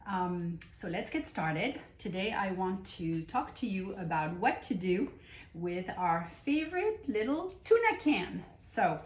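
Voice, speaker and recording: French lady doing a cooking show, slow and chatty w lots of ums, echoey kitchen. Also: mid-range voice, sing-song. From France. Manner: unhurried and enthusiastic, with many pauses and fillers. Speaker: cooking show host and nutrition coach. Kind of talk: casual cooking demonstration.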